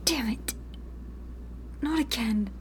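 A woman's voice: a little high, takes it slowly, background noise. A woman playing a hard-bitten, sarcastic rebel technician in a sci-fi radio drama, curt and pragmatic. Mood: shaken. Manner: curt, snappy, scornful.

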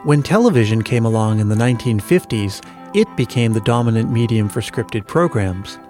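A man's voice: mid-range voice, expressive, normal speed, a little mellow. An American man narrating a scripted podcast as its host. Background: faint music.

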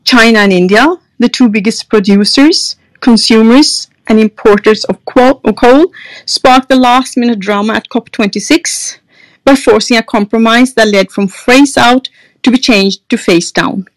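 A woman's voice: on the high side, a little slow, no echo, low-quality recording. A woman with a Norwegian accent hosting and moderating a live podcast panel, reading the introduction and handing over to speakers. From Norway. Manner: reads an introduction, then hands over to speakers.

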